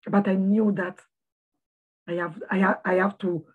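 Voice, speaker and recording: A woman speaking straight to her viewers in an earnest, encouraging vlog. Her voice is husky and a little breathy. It sounds tinny and narrow, like a phone recording. She often stops and repeats a word before she finds the next one. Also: medium pitch, flat delivery, measured pace. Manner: earnest, repeats words while searching for them. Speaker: motivational vlogger for immigrants. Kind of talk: heartfelt motivational talk to camera.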